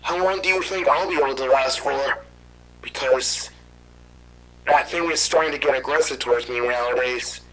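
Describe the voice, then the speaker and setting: Man playing a scared, rambling witness, bright tense voice, curses when he panics, horror audio drama. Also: medium pitch, moderate pace, lightly acted, rough recording. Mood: worried. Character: frightened man hunted by monsters.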